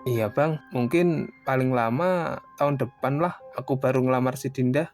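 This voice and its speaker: A man's voice: medium pitch, moderate pace, a little mellow, lightly acted. Man acting modest young guy over music. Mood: earnest, cheerful. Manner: casual, deferential, earnest. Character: modest, grateful young working man, easygoing and respectful. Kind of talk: casual animated dialogue. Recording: voice over prominent background music.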